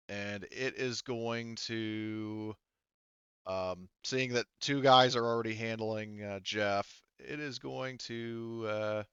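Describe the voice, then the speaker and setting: A man acting as game master of a casual tabletop roleplaying game, speaking haltingly as he thinks through the rules aloud. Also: fairly low pitch, a bit bright, smooth, dry recording, lo-fi sound.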